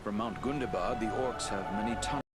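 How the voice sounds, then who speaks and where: A wise elven lord acted by a man, low in voice and solemn in manner. The phrasing is formal and dignified, set over a loud fantasy game score. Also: fairly quick, loud music behind the voice, lightly acted. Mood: grave, dignified. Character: wise, grave elven lord counselling heroes. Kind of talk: fantasy game cutscene acting.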